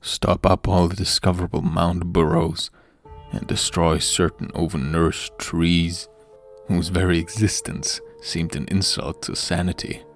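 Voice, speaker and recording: A man's voice: low voice, little pitch movement, measured pace. A man reading a horror story as a dramatic narrator, grave and theatrical, in the manner of an audiobook. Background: background music.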